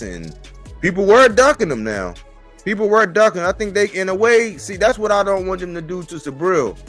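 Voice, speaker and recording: A man's voice: sits high, a bit bright. A man in his forties talking casually as a sports commentator on a live stream, in colloquial language and repeating phrases for emphasis.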